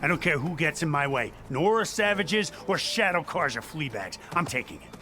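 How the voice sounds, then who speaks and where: An actor playing a brash, gruff mercenary salvage boss in a video game cutscene. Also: male voice, a little high, expressive, moderate pace, pushed and bright, a little hiss or hum. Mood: belligerent. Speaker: mercenary leader.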